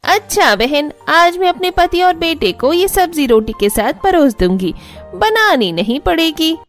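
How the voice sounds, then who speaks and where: Woman doing a nosy greedy aunty next door, cartoon, really high voice, gushy and wheedling. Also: big pitch swings, a little slow, soft background music, full character voice. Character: greedy gushing middle-aged woman neighbour. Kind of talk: cartoon family drama.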